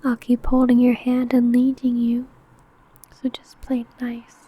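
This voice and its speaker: A woman playing a seductive, possessive female vampire who stalks her prey in an intimate ASMR roleplay, teasing and menacing in a breathy, often whispered voice. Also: on the high side, completely flat delivery, dry recording, phone-quality sound. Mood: controlling, menacing. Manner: slow, teasing, menacing, deliberate.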